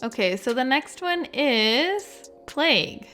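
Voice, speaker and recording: A woman's voice: high-pitched, sing-song, slow pace, pushed and bright, faint music behind. A woman giving casual, informal reaction commentary as a gaming creator, thinking aloud in a hesitant way. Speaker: video game streamer and reviewer.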